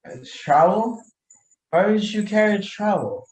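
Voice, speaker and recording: A man acting a tipsy, jovial, foolish nobleman in a radio play, slurred and halting with giggly rambling. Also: high voice, expressive. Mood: giggly, drunk. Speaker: student actor in a radio play. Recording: echoey room recording.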